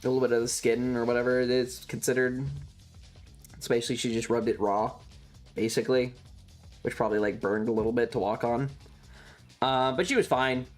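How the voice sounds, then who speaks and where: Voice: medium pitch, level tone, a little fast, long pauses, faint music behind. A streamer telling a casual, rambling story, swearing freely and repeating words for emphasis.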